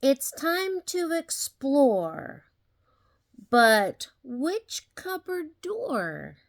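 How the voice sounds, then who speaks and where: An older woman reads a children's storybook aloud. Her voice sits fairly high and swings widely in pitch. She takes her time, very slowly drawing out each question with expressive, deliberate drama. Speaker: grandmother reading a storybook aloud. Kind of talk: children's picture-book read-aloud.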